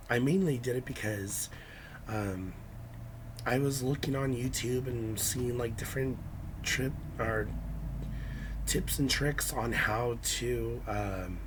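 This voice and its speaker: Raspy, hoarse young man, slow-spoken. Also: mid-range voice. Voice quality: raspy, scratchy. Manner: hesitant, many ums and restarts. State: hoarse from illness. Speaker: nail art vlogger. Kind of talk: casual how-to vlog.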